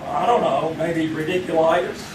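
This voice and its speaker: A dry, teasing small-town sheriff, played by an actor needles a teenage boy with deadpan jabs. His voice sits slightly high. He is heard from afar in a roomy hall with audience noise. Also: normal speed, lightly acted. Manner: dry, mocking. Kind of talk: live radio play on stage. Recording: echoey stage recording from far off, with audience noise.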